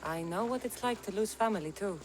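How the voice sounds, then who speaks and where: A woman's voice: mid-range voice, a little fast, close to an everyday voice. An actress playing a tough, skeptical young female mercenary in a video game, with a dry, teasing, matter-of-fact delivery. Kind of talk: video game cutscene acting.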